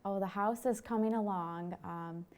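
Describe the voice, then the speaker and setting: A woman's voice: medium pitch, normal speed, darkish tone. A young woman from Michigan, asking friendly, upbeat questions in a casual interview chat. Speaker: student interviewer.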